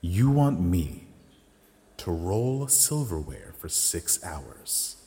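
An actor playing a polite but quietly resentful young restaurant worker in an audio drama, with a restrained manner. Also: male voice, deep voice, sing-song, extremely slow, slightly rough, heavily acted. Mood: subdued.

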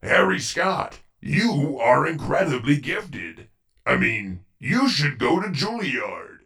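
A man's voice: fairly high pitch, sing-song, slow pace, gravelly, pushed and bright, far from the mic. A man hosting as a podcast announcer in a pompous, theatrical, scripted comedy style. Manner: pompous, bombastic, exasperated showman delivery.

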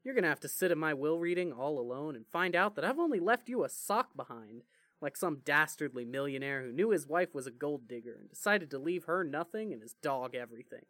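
Man acting a sarcastic, animated teenage brother. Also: a little high, brisk, no room sound. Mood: joking, rambling. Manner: sarcastic, rambling, heavy swearing. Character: foul-mouthed, rambling, joking teenage brother. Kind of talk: fan fiction audio drama acting.

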